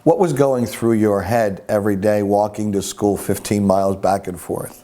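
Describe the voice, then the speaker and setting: A man hosting an interview, reading a scripted introduction and then asking measured, polite questions. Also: lowish voice, steady tone, mellow. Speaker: interview host.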